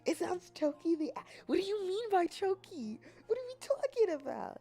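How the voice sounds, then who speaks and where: A young adult woman chatting casually on a livestream in a playful, silly, expressive manner, reacting to her viewers. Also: very high-pitched, normal speed, faint music behind. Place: apartment.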